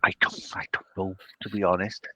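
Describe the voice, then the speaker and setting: A man's voice: low-pitched, little pitch movement, brisk, pushed and bright, no echo, whispered. A man chatting casually and a little tentatively as a player in a tabletop game. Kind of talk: casual improvised chat.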